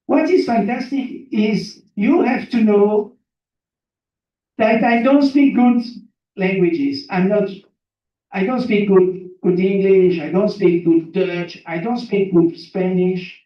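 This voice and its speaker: Echoey, high-voiced French-accented man speaking slowly. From Belgium, born in Burundi. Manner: repeats phrases for emphasis, quizzes the listeners, simple non-native English. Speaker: seminar guest speaker. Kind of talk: motivational talk to an audience, telling own life story. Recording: very echoey room, distant mic, muffled.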